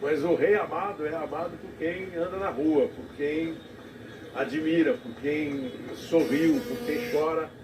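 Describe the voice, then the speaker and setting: A male TV commentator reflecting on a live broadcast, talking haltingly with many restarts. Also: on the high side. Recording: TV audio recorded off the air from a distance in an echoey room, noisy.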